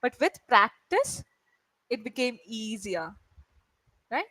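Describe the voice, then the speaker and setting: A woman's voice: fairly high pitch, sing-song, takes it slowly, a bit bright, no room sound. A woman whose first language is Malayalam, tutoring English over a video call and checking in often with her student. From India. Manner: encouraging, checks in with "right?" often. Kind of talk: online tutoring session.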